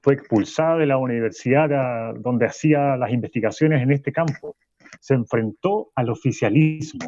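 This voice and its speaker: An actor playing a radio host who delivers a grandiose conspiracy monologue, going from fast to slow. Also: male voice, mid-range voice, mellow, close to an everyday voice. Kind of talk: radio drama acting. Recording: dull, muffled studio sound.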